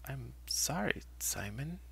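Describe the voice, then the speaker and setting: Man voicing a puzzled middle-aged woman, raised pitch. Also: big pitch swings, slow pace, vocal fry, pushed and bright, husky, full character voice. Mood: uneasy, apologetic. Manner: puzzled, hesitant, polite. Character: confused, polite middle-aged woman neighbour. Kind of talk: acted dialogue in horror story narration.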